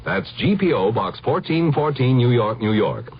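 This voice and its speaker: An American man delivers a scripted radio commercial in a strongly raspy voice. His pitch swings widely as he sells, and the broadcast sound is old and muffled. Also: very animated, moderate pace. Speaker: commercial announcer. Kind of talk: scripted radio advertisement read.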